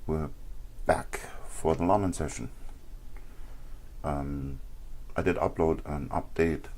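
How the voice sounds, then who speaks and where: A man hosting a live trading session, explaining charts casually, his voice worn and sore, often clearing his throat and pausing with ums. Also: rumbling low, darkish tone. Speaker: trading stream host.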